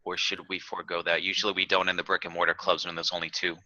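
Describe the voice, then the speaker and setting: A man's voice: low voice, little pitch movement, quick pace, bright, forceful tone, no echo, lo-fi sound. A man chairing an online club meeting over a video call in a formal manner, using set procedural phrases. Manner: formal, uses procedural terms.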